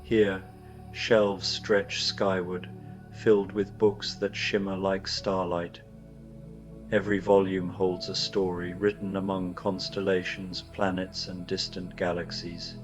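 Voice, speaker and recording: Deep, flat, soothing male sleep voice. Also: highs cut off, over music. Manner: soft, soothing, slow. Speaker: sleep story host. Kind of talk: calm guided relaxation.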